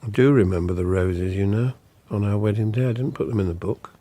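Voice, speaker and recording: An actor playing a diffident, bereft older politician in a radio drama, formal, measured and wistful. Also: male voice, lowish voice, dark tone, natural voice.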